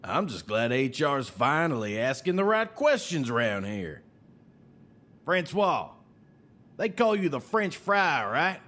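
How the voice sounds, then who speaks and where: A man's voice: medium pitch, big pitch swings, slow pace, a bit bright. An actor playing a brash, sly, folksy salesman with an American Southern accent and needling, colourful idioms in an audio drama. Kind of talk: scripted audio drama acting.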